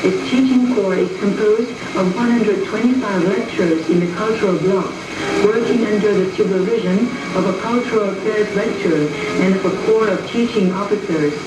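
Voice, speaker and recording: A woman reading scripted propaganda film narration in a formal, measured manner, on an old film soundtrack. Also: medium pitch, reverberant, distant mic, heavy background noise. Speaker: documentary narrator.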